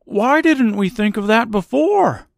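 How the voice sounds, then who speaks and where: A storyteller voicing a male straw scarecrow, excitable and fretful, in a children's storybook. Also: medium pitch, unhurried, vocal fry, dry recording, studio quality, voice pushed up. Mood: eager, puzzled. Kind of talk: storybook character voice.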